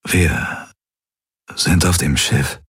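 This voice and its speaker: A man voicing a wounded young man just woken from injury in a science fiction audiobook, speaking low and haltingly. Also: fairly bright tone, husky, dry recording, studio quality, full character voice. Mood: weary. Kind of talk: audiobook character voice.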